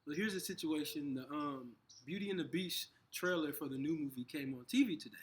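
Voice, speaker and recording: A man co-hosting a casual conversation, talking in halting bursts with frequent restarts. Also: fairly high pitch, a little hiss or hum. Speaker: co-host.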